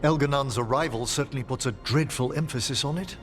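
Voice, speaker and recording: A man's voice: mid-range voice, a little fast, natural voice. A man playing a refined, fussy, scholarly necromancer with pompous, ornate diction in a video game. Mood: courtly, precise. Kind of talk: video game cutscene acting. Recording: loud music behind the voice.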